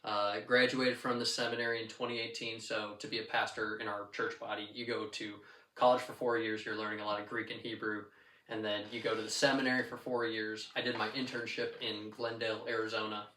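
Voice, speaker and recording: A young man from Texas, a pastor, telling stories in a relaxed, easygoing way. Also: lowish voice, brisk, a little hiss or hum. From Texas, USA. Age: young adult. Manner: relaxed storyteller, easygoing and joking. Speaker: pastor and podcast guest. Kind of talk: casual interview talk. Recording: roomy echo, mic at a distance.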